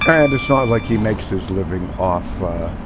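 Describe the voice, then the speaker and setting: A man with a hoarse voice who says he is losing it, chatting casually over gameplay as the host of his own channel, rambling with many fillers and restarts. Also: deep voice, animated, normal speed, heavy background noise.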